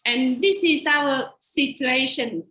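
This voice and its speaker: A woman's voice: high-pitched, slow pace, pushed and bright, echoey room. A woman from Vietnam with a Vietnamese accent, a native Vietnamese speaker and teacher educator, giving an earnest webinar slide presentation over a video call.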